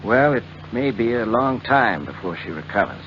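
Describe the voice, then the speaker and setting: Sober male physician, mid-pitched, professional; dull vintage radio drama sound. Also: very animated, normal speed, a bit bright. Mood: grave. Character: grave, professional male doctor. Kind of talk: 1940s radio drama acting. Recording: muffled 1940s radio recording with hiss.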